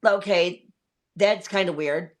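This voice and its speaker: A woman's voice: fairly low pitch, slow pace, a loud crowd. A woman in her fifties hosting a casual chat, telling stories candidly, swearing often and rambling with many fillers. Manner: candid storytelling with frequent swearing. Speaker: host.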